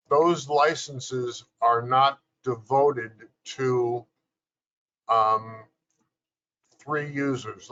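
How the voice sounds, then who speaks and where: A man on a video call giving measured technical explanations, with frequent fillers. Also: medium pitch, fairly bright tone. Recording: dull lo-fi video call audio.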